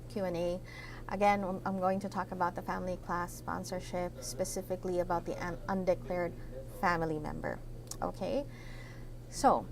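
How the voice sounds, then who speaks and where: A woman with a Filipino accent walks her live-stream viewers through a topic, switching between English and Tagalog. Her voice has a gravelly, fry-tinged edge, and she keeps pausing on fillers and a checking "right?". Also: medium pitch, slight background noise. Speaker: immigration consultant hosting a live Q&A. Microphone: computer setup at a desk.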